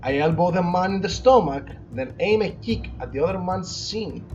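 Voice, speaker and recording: Very animated man reading game narration. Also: high voice, normal speed. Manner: corrects co-player's words. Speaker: let's play co-host. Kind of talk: reading visual novel narration aloud with casual asides. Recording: muffled lo-fi audio with music. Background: game music.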